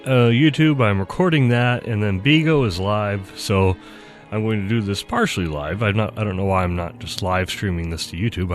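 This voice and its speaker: A man streaming a game, talking in a chatty, rambling way with a stuffy nose from allergies. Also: on the low side. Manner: chatty, rambling, self-deprecating. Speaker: gaming streamer. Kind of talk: casual game commentary.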